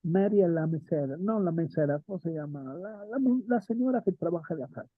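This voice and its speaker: Husky-voiced male theater teacher, tinny line. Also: high voice, a little fast, dry recording. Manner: thinks aloud, asks students questions, frequent "¿no?". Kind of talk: lecture and class discussion.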